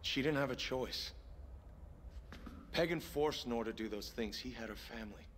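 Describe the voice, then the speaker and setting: A man's voice: mid-range voice, normal speed, lightly acted. A man playing an earnest, determined young protagonist in a video game, plain-spoken and understated. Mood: firm, protesting. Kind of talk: video game character acting. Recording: loud background noise over game dialogue.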